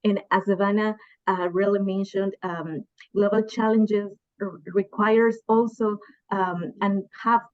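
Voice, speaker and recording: Twenties Peruvian woman, Spanish accent, halting panelist; muffled lo-fi audio. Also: medium pitch, fairly even intonation. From Peru. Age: 20s. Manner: hesitant, searching for words, many restarts. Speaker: panelist, development communicator. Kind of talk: panel discussion.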